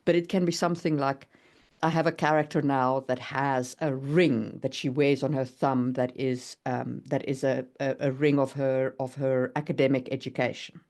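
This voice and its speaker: A woman's voice: very deep voice, a little mellow. Woman explaining thoughtfully in casual discussion. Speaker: writer co-hosting a writing podcast.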